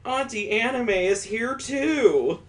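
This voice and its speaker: A man puts on an excitable young girl from a visual novel. The voice sits high and childlike. Phrases are short, simple and eager. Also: slow, exaggerated voice. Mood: excited. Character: excitable young girl speaking in third person.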